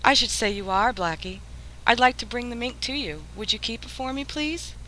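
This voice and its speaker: A woman's voice: on the high side, normal speed, pushed and bright. A woman playing a flirtatious, scheming crook in a 1940s-style radio drama, coaxing and gushing. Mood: sweetly coaxing. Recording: faintly noisy radio drama recording.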